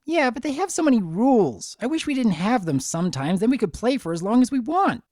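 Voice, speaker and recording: A man's voice: very high voice, big pitch swings, brisk, darkish tone, no room sound, clean studio sound, pitched above the performer's own voice. A man voicing a carefree little pony colt who complains eagerly, in a dramatic story reading. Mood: carefree complaint. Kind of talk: dramatic fan fiction reading.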